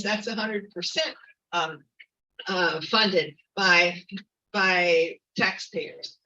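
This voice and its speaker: Earnest bright-voiced woman activist. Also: medium pitch, distant mic, highs cut off. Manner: earnest, halting with many fillers and restarts. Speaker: activist speaker. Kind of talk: informal public talk.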